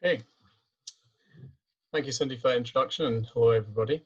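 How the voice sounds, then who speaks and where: A man's voice: medium pitch, fast, husky, highs cut off. A young Scottish man, a PhD student, giving a webinar presentation over a video call in an earnest way with frequent restarts. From Scotland.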